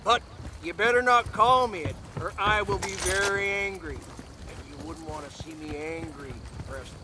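An actor playing a brash, blunt, simple-minded soldier in a video game. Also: male voice, sits high, moderate pace, very noisy, natural voice. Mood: matter-of-fact.

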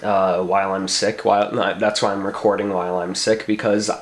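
A man's voice: lowish voice, level tone, a little fast. A young man with a cold, rambling casually through a home tech show-and-tell, full of fillers and self-corrections. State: recovering from a cold. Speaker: tech vlogger. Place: bedroom desk, indoors.

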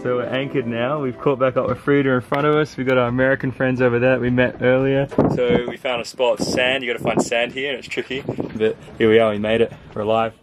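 A man's voice: medium pitch, level tone, fast talker, over music, poor audio. A young man chatting casually about sailing, joking as he films with a handheld camera aboard his boat. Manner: laid-back, joking. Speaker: sailing vlogger. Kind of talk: casual vlog chat. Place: on a sailboat outdoors. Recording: wind and boat noise.

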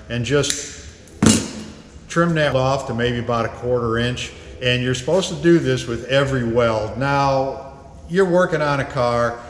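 A man's voice: medium pitch, background noise. A middle-aged man teaching auto body welding in a garage workshop, explaining things in a plain, practical, folksy way. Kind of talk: hands-on welding demonstration. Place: garage workshop with red tool chests. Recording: roomy, echoey workshop.

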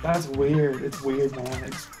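A man's voice: mid-range voice, flat delivery, slow. A man in casual group chat who talks insistently and repeats himself. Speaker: player in a gaming group. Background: game music.

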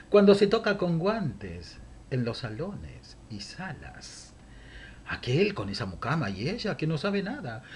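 A man's voice: fairly high pitch, huge pitch swings, takes it slowly, pauses often, a little hiss or hum. Theatrical older Argentine man reciting verse. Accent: Rioplatense Spanish. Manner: theatrical, mock-gossipy, chuckling asides. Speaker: monologue host. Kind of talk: recited humorous verse monologue. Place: home room, indoors. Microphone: webcam at desk.

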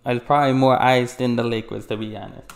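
A man's voice: mid-range voice, fairly even intonation, vocal fry. Young man reacting with casual asides. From Texas, USA. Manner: laughs often, quick asides. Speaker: reaction video host. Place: small room, indoors. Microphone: headphones, desk mic.

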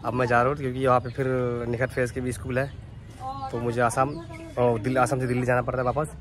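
A man's voice: mid-range voice, flat delivery, quick pace, a crowd in the background. A young man from Assam, India, chatting casually to camera as a travel vlogger on a phone held at arm's length. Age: young adult. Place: outdoors in village, station platform and moving train. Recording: noisy outdoor and train phone recording. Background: street, vehicle and train noise.

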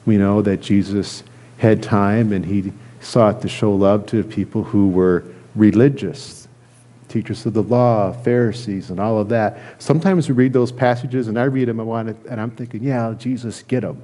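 A middle-aged man, a pastor, preaching a conversational sermon in an earnest, halting way with repeated phrases. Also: on the low side, mellow. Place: church sanctuary, at a lectern indoors.

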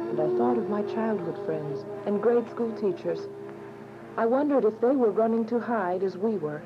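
A woman's voice: medium pitch, lightly acted. A woman playing an earnest, devout, anxious woman who narrates and speaks in a radio drama. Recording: muffled radio drama with music behind.

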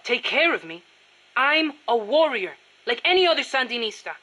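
A woman's voice: high voice, sing-song, moderate pace, sharp tone, full character voice. A woman voicing an earnest, proud young boy guerrilla in a video game, youthful and hesitant when flustered. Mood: proud, eager. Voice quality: youthful, boyish.

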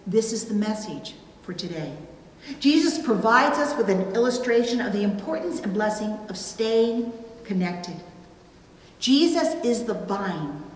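A middle-aged woman preaching a sermon from a pulpit, with deliberate, emphatic phrasing and pauses. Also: mid-range voice, lively intonation. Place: indoors at a church pulpit. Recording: roomy, reverberant church, distant, faint noise.

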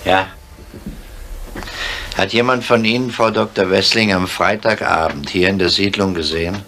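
An actor playing a gruff middle-aged police chief inspector in a 1970s German radio crime drama. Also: male voice, low-pitched, fairly even intonation, normal speed, sharp tone. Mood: questioning. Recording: faintly noisy 1970s radio recording.

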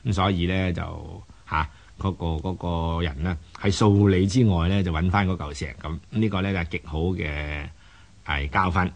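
A man giving unscripted explanatory commentary as a programme host, didactic and halting, often repeating words. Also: low-pitched, steady tone, creaky.

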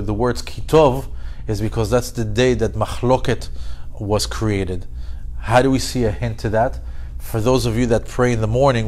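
A man's voice: medium pitch, darkish tone. A man giving a conversational Torah lesson as a religious teacher, telling it like a story with rhetorical questions and repeated phrases for emphasis. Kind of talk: animated Torah lesson with acted-out dialogue.

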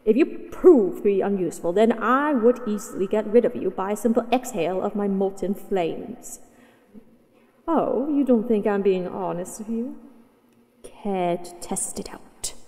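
A woman's voice: mid-range voice, expressive, a little slow, a little mellow, long reverb tail, lightly acted. A woman voicing a possessive, haughty dragoness in a scripted roleplay audio, speaking with condescending, teasing command. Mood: menacing. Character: possessive, haughty, mighty female dragon captor.

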